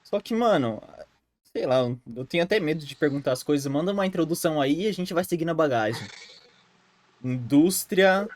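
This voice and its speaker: A man's voice: fairly high pitch, animated, a little fast, no echo. A man hosting a podcast, chatting casually with slang and nervous jokes. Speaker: podcast host.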